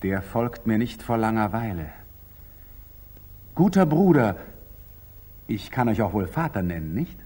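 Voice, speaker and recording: An actor playing a proud, curt, sardonic knight templar in a theatrical 1940s German radio drama. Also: male voice, lowish voice, sing-song, a little slow, pauses often, warm tone, slightly rough, heavily acted. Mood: wry. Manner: clipped, theatrical, wry.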